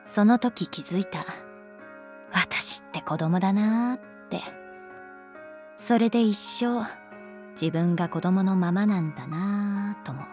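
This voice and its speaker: An actress plays a grown woman teacher in an anime-style audio drama, gently detached and frank. She speaks slowly and softly at a middle pitch, with music underneath. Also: natural voice. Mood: wistful, regretful. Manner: unhurried, soft-spoken, frank. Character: adult female homeroom teacher, calm, guarded, gently detached.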